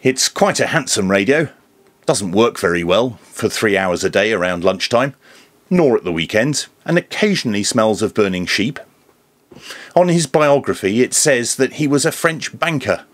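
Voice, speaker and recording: Male reviewer, lowish voice with wide melodic swings, deadpan humour, dry close sound. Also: normal speed, no room sound. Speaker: radio reviewer and presenter. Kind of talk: scripted product review narration with dry jokes.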